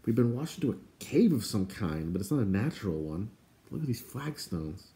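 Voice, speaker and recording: A man's voice: fairly low pitch, very animated, moderate pace, mellow. A man voicing an earnest, responsible young adventurer in a fantasy story. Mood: earnest, apologetic.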